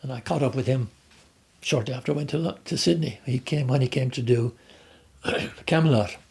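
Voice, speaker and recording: An older man with an Irish accent, talking about his life at an unhurried pace, often pausing to restart his sentences. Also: mid-range voice, creaky, a little mellow. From Ireland. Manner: hesitant, with many restarts and fillers. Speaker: interviewee. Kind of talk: reflective conversational storytelling.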